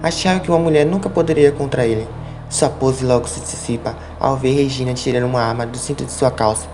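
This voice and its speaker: A man's voice: medium pitch, quick pace, noisy background. A man reading scripted narration as the narrator of an audio drama. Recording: loud music under the voice.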